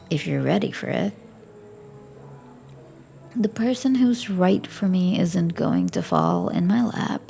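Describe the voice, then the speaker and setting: Woman doing a flirty bartender roleplay, slow, gravelly, half whispered, teasing. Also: medium pitch. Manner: soft, breathy, flirty and teasing, often near a whisper. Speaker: audio roleplay performer.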